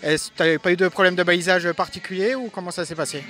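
A man's voice: sits high, fairly even intonation, fast, pushed and bright, soft background music. A man reporting from a trail race outdoors. He chats cheerfully with runners and organizers, with the sound of a camera mic. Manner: chatty, upbeat, teasing runners. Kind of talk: casual on-the-spot reporting.

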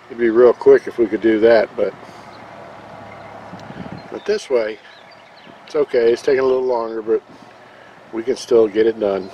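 A man's voice: mid-range voice, steady tone, moderate pace, pauses often, dark tone, highs cut off, a little hiss or hum. A man casually narrating his own outdoor project, easygoing and rambling, recorded on a handheld camera in an open field.

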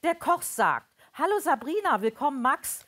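A woman narrating a scripted live radio play in an expressive storytelling manner. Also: sits high, normal speed.

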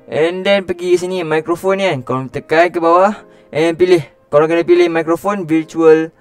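A man's voice: a little high, steady tone, normal speed, clear, resonant tone, faint music behind. A young man with a Malaysian accent giving a casual, informal tutorial, talking straight to his viewers in slangy everyday speech. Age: young adult. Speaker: YouTube host.

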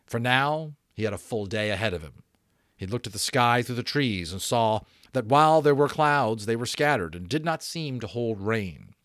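A man in his thirties reading a novel aloud as an expressive, dramatic audiobook narrator. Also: mid-range voice, moderate pace, dry recording, clean studio sound. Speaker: audiobook reader, voice actor. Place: small home room, indoors. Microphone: close desk microphone.

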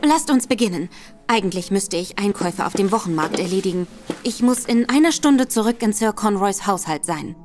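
An actress playing a confident, cunning female detective in a radio drama, speaking briskly. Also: mid-range voice, lively intonation, faint music behind, lightly acted. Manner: self-assured, brisk.

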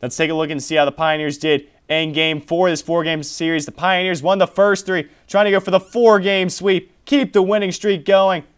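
Young guy hosting campus sports tv, voice a bit on the high side, reads off stats and scores. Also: steady tone, normal speed, few pauses, clean tone. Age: young adult. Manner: reads scores and stats, then hands off questions. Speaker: sports show host. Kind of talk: college sports studio talk. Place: small TV studio.

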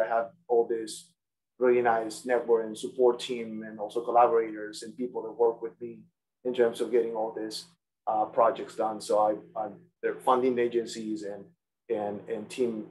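A man from Peru giving an academic seminar over a video call, speaking haltingly with frequent restarts and repeated words. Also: lowish voice, level tone, darkish tone, poor audio.

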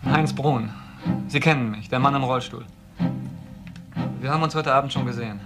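A man's voice: mid-range voice, normal speed, over music, natural voice. A male actor playing an insistent, accusing man in a crime radio play. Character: insistent, accusing man in a wheelchair, brother of suspect. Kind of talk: radio crime drama acting.

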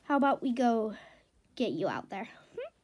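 A woman's voice: fairly high pitch, sing-song, moderate pace, a little mellow, slight background noise. A woman playing an easygoing horse owner in a story, chatting casually to her horse. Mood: casual, upbeat.

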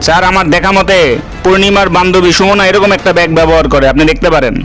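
Casting: male actor as helpful young cartoon witness, rapid-fire, high-pitched, deferential. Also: a bit bright, soft background music. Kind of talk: cartoon voice acting.

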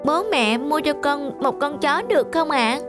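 A woman voicing an earnest, timid, affectionate young boy in a cartoon, in a soft-hearted, hesitant manner. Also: sits high, measured pace, over music. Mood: tender, pleading. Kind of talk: Vietnamese cartoon dubbing.

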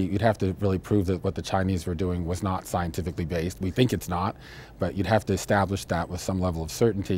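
An older man giving measured, explanatory analysis as an expert in a studio interview. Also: sits low, a little mellow, slight background noise. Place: indoor studio, seated interview.

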